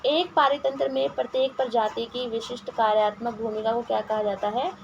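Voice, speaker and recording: A hoarse woman with a sore throat, teaching exam-prep questions and answers with frequent hesitations and restarts, mixing English words into her talk. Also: fairly high pitch, steady tone, fairly quick, a little mellow. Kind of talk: reading quiz questions and answers, casual explanation. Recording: lo-fi home recording with noise.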